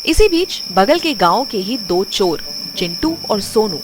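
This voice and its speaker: A woman's voice: medium pitch, sing-song, measured pace, noisy background. A woman reading scripted narration for a children's bedtime story. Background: music.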